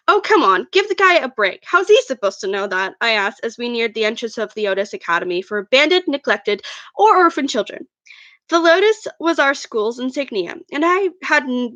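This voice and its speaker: High, bright-voiced woman reading a story. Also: no room sound, highs cut off. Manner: stumbles over words while reading. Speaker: author reading own fan fiction. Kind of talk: first-person story narration, amateur read-aloud.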